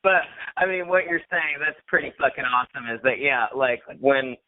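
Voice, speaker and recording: A man's voice: mid-range voice, moderate pace, dry recording. A man in his thirties hosting a casual podcast, laughing often, self-deprecating and swearing freely. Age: 30s. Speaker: podcast host. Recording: dry, tinny phone-like sound.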